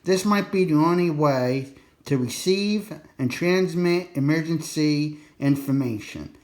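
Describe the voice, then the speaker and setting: A man's voice: a little high, measured pace. A man giving a casual, unscripted explainer in a folksy, colloquial way, rambling a bit and repeating his warnings for emphasis. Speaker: presenter of an instructional radio video.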